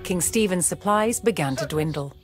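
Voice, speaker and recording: A woman delivering scripted, documentary-style campaign narration for a game in a measured, formal storytelling manner. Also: on the low side, lively intonation, loud music behind the voice.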